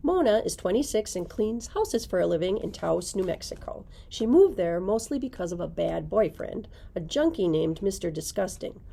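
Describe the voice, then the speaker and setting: A woman's voice: mid-range voice, sing-song, normal speed, creaky, hardly any pauses, mellow. A middle-aged woman, a librarian, recommending books and reading their blurbs aloud to a camera in a library. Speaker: librarian presenting book picks.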